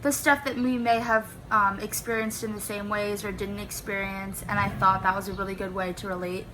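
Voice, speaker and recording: 19 y/o girl talking through a school project, lots of "like", pretty flat delivery. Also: mid-range voice, moderate pace, slight background noise, low-quality recording. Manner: rambling, heavy use of "like" fillers. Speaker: student presenting a class project. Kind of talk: casual spoken explanation.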